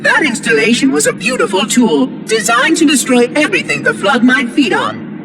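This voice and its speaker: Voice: sits high, huge pitch swings, normal speed. An actor voicing a prim, fussy ancient AI monitor in a video game, with pompous, precise diction and a synthetic edge. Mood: exasperated, insistent. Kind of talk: video game cutscene acting. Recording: music behind the voice.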